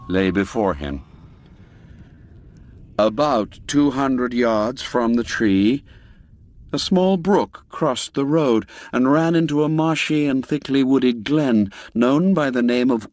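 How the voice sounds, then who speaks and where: A man narrating classic literary fiction in a dramatic, deliberate and suspenseful storytelling manner. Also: mid-range voice, slight background noise.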